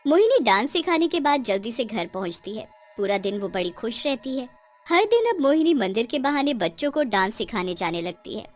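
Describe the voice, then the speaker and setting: A woman narrating a scripted cartoon story in a dramatic storytelling style. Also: a little high.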